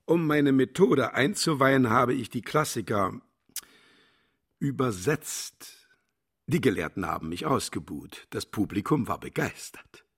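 A man's voice: medium pitch, animated, a little slow, fairly bright tone, husky, exaggerated voice. A man playing a vain, contemptuous philosopher in a radio play, declaiming and lecturing grandly. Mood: self-satisfied, lecturing. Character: pompous, cynical male philosopher, vain and contemptuous. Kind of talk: German radio play acting.

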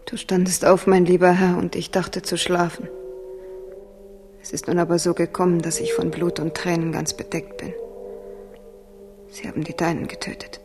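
A woman's voice: fairly low pitch, flat delivery, normal speed, pauses often, music in the background, close to an everyday voice. An actress playing a grieving young French queen in a German radio drama, solemn and tender, moving between half-whispers and noble pleading. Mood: mournful, tender.

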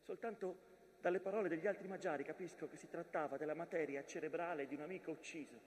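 An actor playing a proud, anguished mountain that witnesses trench war, in a declamatory theatrical monologue. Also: male voice, a little high, steady tone, fast talker, long reverb tail, close to an everyday voice. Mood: grave. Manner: declamatory, swelling from grave narration to outbursts.

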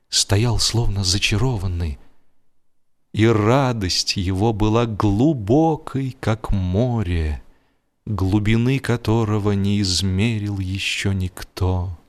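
A man reading literary prose as an audiobook narrator, in a solemn, measured storytelling manner. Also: on the low side. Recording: music behind the voice. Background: music.

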